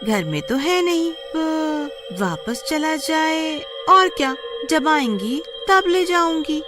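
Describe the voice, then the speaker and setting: A woman's voice: high-pitched, expressive, slow pace, pitched above the performer's own voice. A woman voicing a cheeky village woman in a cartoon horror story, exclaiming in a singsong way. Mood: calling out. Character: cheeky, greedy village woman who turns frightened. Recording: music playing behind the voice.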